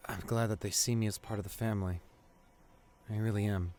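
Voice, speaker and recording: A man playing a devoted, grieving boyfriend at a hospital bedside in an intimate ASMR roleplay, speaking tenderly and haltingly with emotion. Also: lowish voice, fairly even intonation, a little mellow, lightly acted. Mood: tender, wistful. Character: devoted, grieving boyfriend visiting his comatose partner in hospital.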